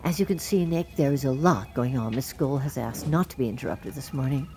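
An actress playing a polite, flustered new secretary who sticks stubbornly to the rules, formal and halting, in a naturalistic audio drama. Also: female voice, extremely low pitch, darkish tone, slight background noise. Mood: polite, firm.

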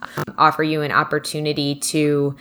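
A woman's voice: low voice, monotone, normal speed, a bit bright. A woman co-hosting a podcast in casual, candid conversation, speaking informally and often saying "like.". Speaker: podcast co-host.

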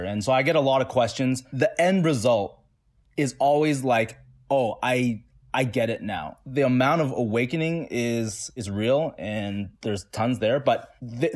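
A man from Toronto answering interview questions in a relaxed way, with many fillers and restarts. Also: medium pitch. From Toronto, Canada. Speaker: interview guest.

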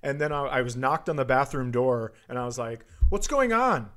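Male, middle-aged, mid-pitched; loose comic podcast banter, crude storytelling with acted-out quotes. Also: expressive, normal speed, a bit bright. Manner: crude joking, acts out quoted lines. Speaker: comedy podcast co-host. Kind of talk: casual comedic banter and storytelling.

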